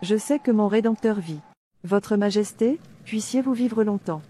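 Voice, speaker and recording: Casting: actress as fearless believer preaching verses in a cartoon, warm dark tone, medium pitch. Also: female voice, measured pace, a little hiss or hum, lightly acted. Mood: reverent. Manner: declamatory, reciting verses with references. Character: devout, fearless captive woman preaching scripture. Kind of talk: scripture recitation in animated drama.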